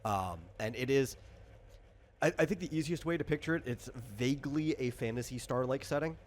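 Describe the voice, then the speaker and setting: A man's voice: medium pitch, normal speed, slight background noise. Rambling man in casual explanatory chat. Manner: rambling, thinking aloud. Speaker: game designer and host.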